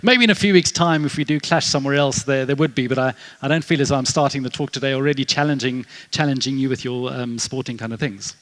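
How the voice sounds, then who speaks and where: A man's voice: medium pitch, fast, hardly any pauses, fairly bright tone. A middle-aged man with a South African accent giving a chatty, self-deprecating conference talk on stage, full of fillers and restarts. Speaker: conference speaker, developer advocate. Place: large converted warehouse venue.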